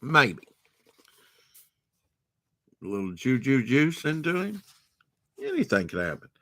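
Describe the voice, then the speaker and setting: A man's voice: mid-range voice, huge pitch swings, slow pace, sharp tone, no room sound. A man hosting a late-night storytime, reading a storybook aloud and breaking off into rambling, sarcastic asides. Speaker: storytime host.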